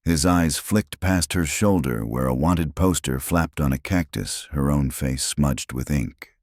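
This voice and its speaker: A man narrating a scripted Western audio drama in a measured storytelling manner. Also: extremely low pitch, darkish tone, dry recording, clean studio sound.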